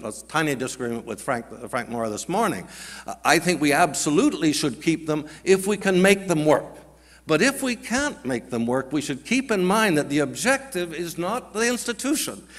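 A man's voice: on the high side, a bit bright. An older man, a scholar, giving an emphatic, colloquial speech at a podium in a large auditorium. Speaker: panel speaker, scholar. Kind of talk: conference speech. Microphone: podium microphone. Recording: long hall reverb.

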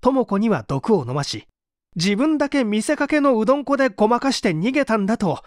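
A man plays a cornered male suspect in a crime audiobook. His voice rides high and swings widely as he pleads and protests, agitated and defensive, in a dry recording. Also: sing-song, brisk, no echo. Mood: bitter, exasperated. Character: distressed, defensive male murder suspect under interrogation. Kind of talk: dramatic audiobook reading.

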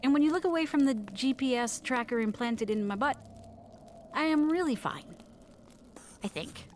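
Fussy, animated, high-voiced woman, acted out. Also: normal speed, slight background noise. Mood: chatty, confiding. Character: fussy, chatty woman stranded in another world. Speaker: podcast narrator character. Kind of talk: comedic audio drama acting.

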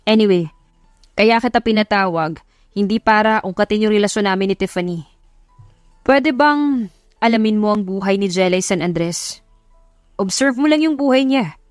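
Babaeng gumaganap bilang seryosong batang boss na CEO. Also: female voice, mid-range voice, no room sound, clean studio sound, faint music behind, lightly acted. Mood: calm, curious. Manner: earnest, measured, mixing in English. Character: young male CEO boss, earnest, smitten, defensive.

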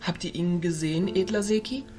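A woman's voice: lowish voice, dark tone, faint music behind, natural voice. A woman playing a composed, authoritative fairy leader who speaks formally in an amateur audio drama. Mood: composed, solemn. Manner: formal, measured.

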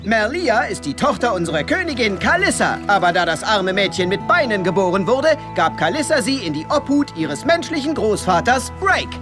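A woman's voice: mid-range voice, big pitch swings, normal speed, hardly any pauses, music in the background, close to an everyday voice. A woman playing a bubbly, gushing mermaid morning-show presenter in a children's audio drama. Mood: bright, gushing.

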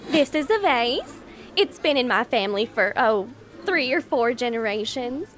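A woman's voice: on the high side, animated, normal speed, a bit bright, soft background music. A woman playing a sheepish, clueless antiques show guest in a comedy sketch.

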